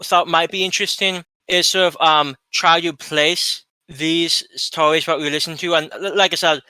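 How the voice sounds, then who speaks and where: A man's voice: fairly high pitch, steady tone, moderate pace, pushed and bright, clear, resonant tone, no echo, low-quality recording. A man co-hosting a casual fan discussion show, talking informally. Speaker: co-host of a Doctor Who discussion show.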